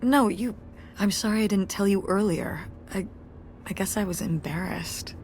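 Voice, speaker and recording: A woman's voice: mid-range voice, moderate pace, a little mellow, a little hiss or hum, natural voice. An actress playing a wry, tired middle-aged mother who runs a community theater, speaking with a dry, self-deprecating humor in naturalistic game dialogue. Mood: earnest.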